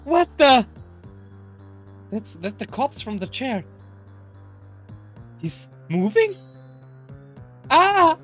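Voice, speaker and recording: A woman voicing an urgent, bossy adventurer in a visual novel, shouting frantic commands. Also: high voice, big pitch swings, extremely slow, pauses often, voice pushed up, heavily acted. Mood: frantic, commanding. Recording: music behind the voice.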